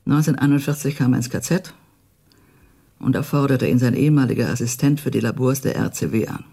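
An actress playing a grave, embittered elderly widow in a radio drama, with a husky, gravelly voice. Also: female voice, extremely low pitch, fast, dark tone, full character voice. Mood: grave, sorrowful. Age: older. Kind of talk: naturalistic radio drama acting.

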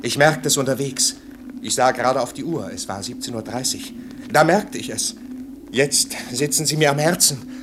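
Radio drama: dying husband, played by a male actor, mid-pitched, weary and resigned, clear background noise on an aged recording. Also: moderate pace, natural voice. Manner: weary, fatalistic, tender. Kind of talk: postwar German radio drama acting.

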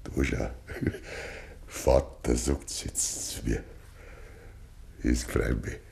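An actor playing a stubborn, grieving old farmer with a Bavarian accent and quiet tenderness in a 1950s dialect radio play. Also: male voice, rumbling low, flat delivery, drawn-out words, creaky, heavily acted. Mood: moved, pleased. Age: older. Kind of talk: 1950s German dialect radio drama acting.

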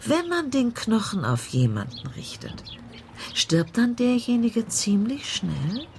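Eine Schauspielerin spricht in einem Hörspiel die ältere, direkte Ehefrau eines alten Polizeichefs, die hartnäckig nachfragt und stichelt. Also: female voice, on the high side, big pitch swings, takes it slowly, creaky, slight background noise, exaggerated voice. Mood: uneasy, probing. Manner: needling, insistent questioning. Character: blunt, probing wife of an old police chief. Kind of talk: radio play acting.

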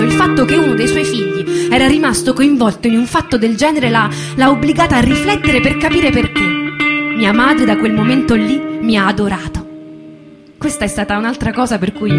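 A woman playing an earnest, proud young male metalworker giving halting, insistent, colloquial testimony in a theatrical monologue. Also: high-pitched, voice pushed up.

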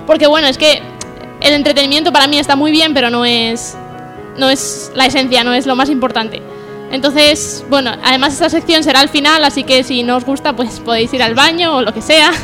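A woman's voice: high-pitched, steady tone, a little fast, music in the background. A woman presenting an informal radio monologue in a hesitant, self-deprecating but earnest way. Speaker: section presenter on a humour radio show.

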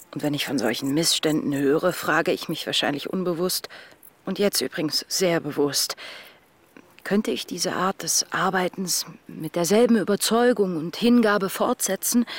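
Radio play confider: high male voice, self-doubting, earnest, articulate. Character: troubled, self-questioning intellectual confiding guilt in a mentor.

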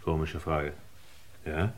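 An actor playing a wary, dry-witted hotel guest in a radio crime drama, speaking tersely. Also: male voice, rumbling low, steady tone, slow pace. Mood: dry, defiant.

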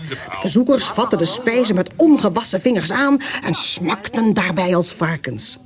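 A woman narrates an old radio play, telling the scene in a storytelling way. Her voice is fairly high and lively in pitch. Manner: storytelling, descriptive. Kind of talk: scripted narration.

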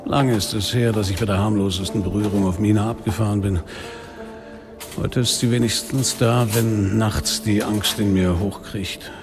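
An actor playing a weary, sardonic middle-aged police inspector in a noir radio drama, speaking drily and broodingly. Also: male voice, fairly low pitch, steady tone, normal speed, lightly acted. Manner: dry, sarcastic, brooding. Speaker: actor, first-person narrator in a radio play. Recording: studio radio drama with music underneath.

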